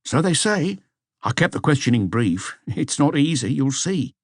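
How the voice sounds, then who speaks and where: A man's voice: fairly high pitch, sing-song, normal speed, dry recording, studio quality, voice pushed up. A man voicing a hesitant, deferential police inspector giving a report in an audiobook. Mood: uneasy, puzzled. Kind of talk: audiobook character dialogue.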